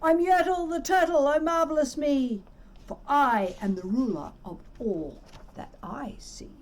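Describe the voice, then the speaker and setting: A woman's voice: high-pitched, a little slow, a little mellow. Woman putting on a grandiose, boastful turtle king. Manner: grandiose, commanding, bellowing. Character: pompous, power-hungry male turtle king, boastful and bullying. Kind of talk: children's storybook read-aloud.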